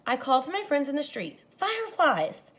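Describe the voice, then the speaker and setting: A woman teacher reading a picture book aloud to children, expressive and dramatic, speaking to them directly. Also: sits high, fast talker. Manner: animated, dramatizes the story lines, addresses children directly. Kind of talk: expressive children's read-aloud with explanatory asides.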